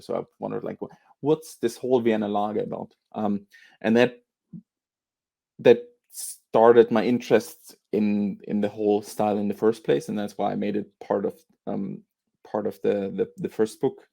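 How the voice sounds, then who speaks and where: A man from Austria, answering interview questions as a beer author and historian, pausing often with fillers and repeated words. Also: fairly low pitch, steady tone, gravelly, mellow.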